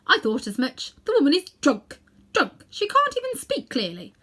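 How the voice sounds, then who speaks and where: A woman's voice: high-pitched, huge pitch swings, moderate pace, fairly bright tone, pitched above the performer's own voice, heavily acted. Casting: tough old storybook aunt, voiced by a woman, prim, bossy, icy disapproval, scolding. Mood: scornful.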